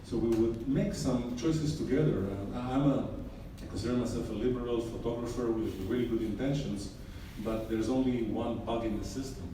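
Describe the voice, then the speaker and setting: A middle-aged photographer from Israel talks through his portraits in a loose, joking way, full of ums and asides. He is caught from a distance in a very echoey room with clear background noise. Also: medium pitch, fairly even intonation, a little fast, few pauses, warm tone. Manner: casual storytelling with frequent fillers and wry humor. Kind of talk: artist talk about his photographs. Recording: echoey room, mic far off, noisy.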